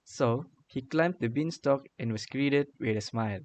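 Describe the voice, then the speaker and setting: A man's voice: medium pitch, normal speed, darkish tone, slight background noise. A man narrating a scripted fairy tale for a radio drama.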